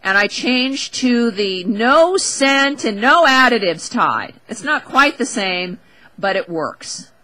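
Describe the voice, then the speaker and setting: A woman talk show host with a fairly high, bright and forceful voice. She riffs casually and with strong opinions, leaning on words for wry emphasis and talking straight to the listener. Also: very animated, slow pace, rough recording. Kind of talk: opinionated casual radio monologue.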